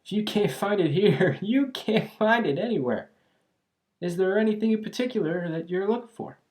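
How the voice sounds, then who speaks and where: A voice actor playing a clumsy, cheerfully eccentric alchemist shopkeeper in an ASMR roleplay, chatty and absent-minded, halting and trailing off. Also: mid-range voice, lively intonation, a little mellow, lightly acted. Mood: cheerful welcoming. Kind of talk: ASMR roleplay, scripted character acting.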